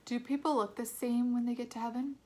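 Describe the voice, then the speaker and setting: A woman's voice: fairly high pitch, fairly even intonation, a little fast, a little mellow, pitched above the performer's own voice, natural voice. A sensitive young boy from a storybook, acted out by a woman reading aloud. He is inquisitive and pleading, asking things with childlike earnestness. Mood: wondering.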